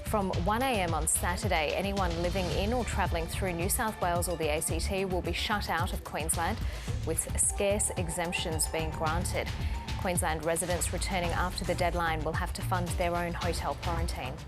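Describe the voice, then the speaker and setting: A woman's voice: fairly low pitch, steady tone, normal speed. Australian woman news anchor over music. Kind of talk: scripted news bulletin reading.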